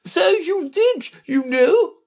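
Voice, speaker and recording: Bossy snappy queen from a kids storybook, way high and loud, scolds everything. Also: lively intonation, slow, heavily acted. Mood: bossy, scolding. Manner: sharp, impatient, emphatic. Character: Red Queen, sharp bossy imperious scolding royal chess piece. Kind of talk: children's storybook character voice.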